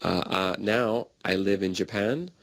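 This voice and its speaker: A man speaking in plain, simple sentences in a slow conversation practice recording. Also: on the low side, creaky, no echo. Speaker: English learner in a practice dialogue.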